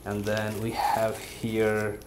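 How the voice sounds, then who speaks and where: A man giving a casual unboxing and product review commentary in a halting, hesitant way. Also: on the low side, monotone. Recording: clear background room noise.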